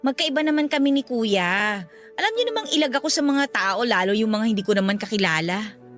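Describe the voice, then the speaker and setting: Sulky young daughter in radio drama, high n fast, defensive, bit of music behind. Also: female voice, very animated, a bit bright. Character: withdrawn, defensive young daughter, shy of people. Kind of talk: Tagalog radio drama dialogue.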